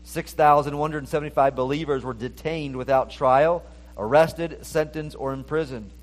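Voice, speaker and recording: A man preaching a sermon, earnest and steady, his voice rising when he reads scripture aloud. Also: a little high, moderate pace, low-quality recording. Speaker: pastor.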